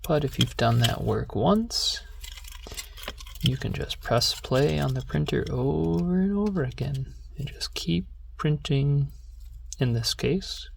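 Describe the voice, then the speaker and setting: Hushed, slow ASMR voice with creaky edges. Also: medium pitch, a little hiss or hum. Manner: hushed, gentle, unhurried, drifting into whisper. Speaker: ASMR video creator and host. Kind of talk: soft-spoken ASMR show-and-tell.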